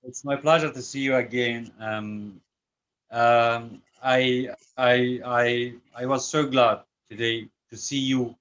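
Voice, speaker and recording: Slow hesitant man on a muffled call. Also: mid-range voice, flat delivery, fairly bright tone. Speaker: local site worker. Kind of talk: video call remarks. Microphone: video call. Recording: dull lo-fi call audio.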